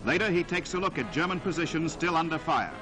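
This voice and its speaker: A man narrating a 1940s newsreel in a dramatic, declamatory style with emphatic, measured phrasing, on a vintage soundtrack. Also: a little high, expressive, over loud music.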